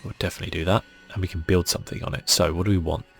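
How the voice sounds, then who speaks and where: A man giving soft-spoken ASMR commentary on a video game in a murmured, laid-back way, as if thinking aloud. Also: sits low, fairly even intonation, very fast, vocal fry, slightly rough. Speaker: gaming channel host. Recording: faint music behind.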